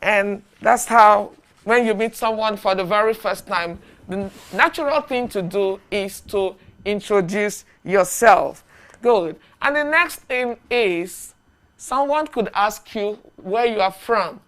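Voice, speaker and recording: A woman teaching a beginner English lesson, speaking deliberately and repeating short phrases for learners to echo. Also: medium pitch, a bit bright.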